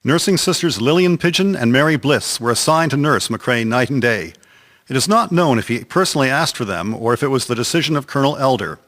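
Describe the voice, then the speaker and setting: A man's voice: medium pitch, expressive, a little fast, a bit bright. A man narrating a historical radio drama from a script in a solemn, formal storytelling manner. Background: music.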